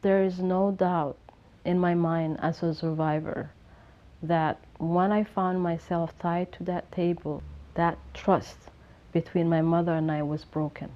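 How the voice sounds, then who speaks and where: A woman with a Somali accent and a fairly low voice gives personal testimony. Her delivery is earnest and emphatic, in the way of an activist being interviewed. The recording sounds a little muffled. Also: little pitch movement, a little slow. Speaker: human rights activist.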